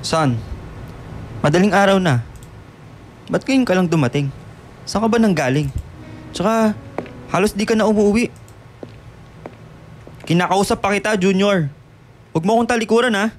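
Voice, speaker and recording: A man's voice: sits high, moderate pace, pauses often, slight background noise, natural voice. An actor playing a worried, middle-aged father who scolds and pleads with his son in a radio drama, with a Filipino accent. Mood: concerned, questioning. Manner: pleading, admonishing. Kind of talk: radio drama dialogue, Taglish.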